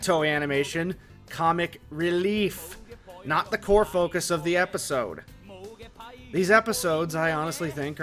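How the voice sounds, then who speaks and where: Video essayist: male, slightly high pitch, opinionated conversational narration over soft music.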